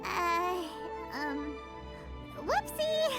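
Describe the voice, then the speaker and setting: A tiny fairy sidekick from a fantasy game. The voice is extremely high and childlike, leaping around with cheeky eagerness. Music plays clearly behind it. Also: female voice, big pitch swings, very slow, exaggerated voice. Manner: exclamatory, bouncy. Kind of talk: video game voice acting.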